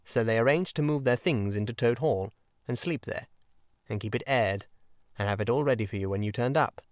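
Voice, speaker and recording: A man voicing an earnest, excitable water rat in a storybook, speaking in an emphatic and deliberate manner. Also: medium pitch, no room sound, clean studio sound, lightly acted. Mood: grave, confiding. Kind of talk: audiobook character acting.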